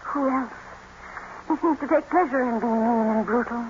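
Woman acting a proud, passionate young gentlewoman. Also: a little high, normal speed, natural voice. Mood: torn, impassioned. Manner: theatrical, emphatic. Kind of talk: 1950s radio drama acting. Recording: muffled, hissy vintage radio broadcast.

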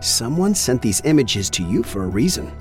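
A male actor plays a grave, cautioning adviser in a mystery audio drama. His medium-pitched voice moves expressively over soft music. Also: very animated, moderate pace, lightly acted. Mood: warning.

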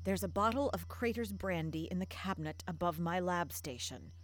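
A woman's voice: fairly low pitch, moderate pace, faint music behind, natural voice. A woman playing a tough, sardonic, hard-drinking doctor in an audio drama, with dry sarcastic delivery. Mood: defiant, dry.

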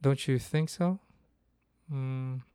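A man's voice: mid-range voice, fairly even intonation, takes it slowly, warm tone, clear, resonant tone, dry recording, studio quality. A man from the Philippines, hosting a casual solo podcast and thinking aloud with frequent restarts. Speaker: podcast host.

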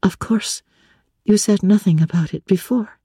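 A woman voicing a devoted, earnest young woman in love, softly and tenderly, in a dramatic reading of classic literature. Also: medium pitch, normal speed, no room sound, studio quality, voice pushed up. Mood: shy, teasing. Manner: soft, breathy, tender and respectful.

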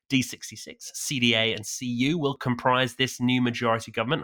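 A man's voice: mid-range voice, bright, forceful tone. A man co-hosting a scripted news roundup, reading headlines with dry, wry asides. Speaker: news podcast co-host.

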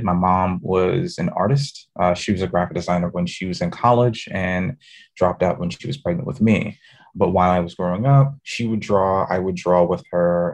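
A very deep-voiced man with a gravelly, slightly husky tone. He is a design professor answering interview questions in a thoughtful, measured way. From New York City. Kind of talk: podcast interview.

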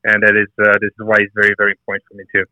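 A man's voice: on the low side, completely flat delivery, very fast, sharp tone, dry recording, dull audio. A middle-aged man with a Bulgarian accent, a guest on a podcast interview, talking earnestly with many hesitant fillers. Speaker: guest, fitness and nutrition coach.

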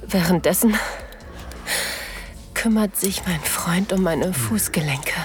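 An actress playing a submissive, aroused woman, narrating intimately in a breathy, whispery voice in an erotic audio drama. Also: on the low side. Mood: breathless, sensual. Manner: slow, sensual delivery. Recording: music behind the voice.

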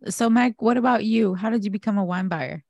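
A woman co-hosting a chat show, bubbly and quick to laugh. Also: mid-range voice, darkish tone, no echo, lo-fi sound. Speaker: co-host. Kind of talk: podcast hosting.

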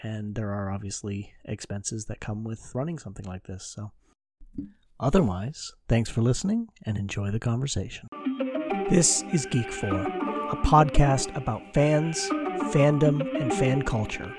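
A man's voice: mid-range voice, unhurried, a little mellow, no room sound, soft background music. A man hosting a podcast, talking casually and informally as he introduces and interviews.

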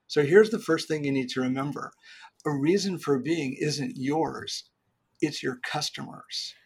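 A middle-aged man, a podcast guest, giving business advice in a lively, joking, explanatory way. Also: fairly high pitch, unhurried, breathy edge. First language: English. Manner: animated, jokey explainer.

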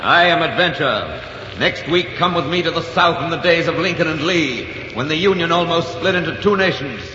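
A long echo and dull, hissy 1940s radio sound wrap around a bold story host. The delivery is grand, alluring and dramatic, pitched a bit high. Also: male voice, lively intonation, normal speed, pushed and bright. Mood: bold, inviting. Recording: echoing, hissy, muffled 1944 radio broadcast.

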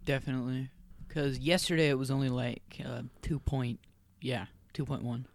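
A man's voice: medium pitch, steady tone, moderate pace, dry recording. A young man from the southern US as a podcast guest, chatting casually in short, challenging interjections. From southern United States. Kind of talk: casual chat.

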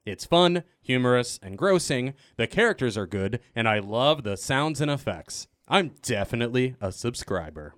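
A man's voice: medium pitch, sing-song, normal speed, few pauses, fairly bright tone, no room sound, studio quality. A man on a podcast cast panel, chatting casually and joking in a mock-earnest way. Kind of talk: casual panel chat with jokey reading of listener mail.

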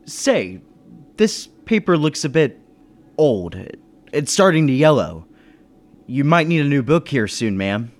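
Man acting a breezy 1930s businessman, lively delivery. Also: a little high, a little slow, long pauses. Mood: flirtatious. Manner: breezy old-time slang. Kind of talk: 1930s radio drama acting and narration.

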